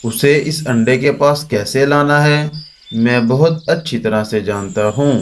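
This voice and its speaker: A man's voice: medium pitch, measured pace, a little hiss or hum, lightly acted. A man voicing an earnest, resolute male crow in a Hindi children's cartoon. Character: concerned, resolute male crow, helpful friend. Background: music.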